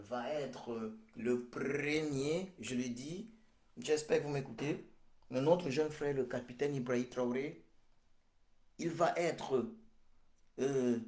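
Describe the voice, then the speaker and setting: A man, giving a slow, repetitive prophetic address full of hesitations. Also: medium pitch, a little mellow, reverberant. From Cameroon. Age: 40s. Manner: slow, repetitive, hesitant with many pauses and fillers. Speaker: political speaker.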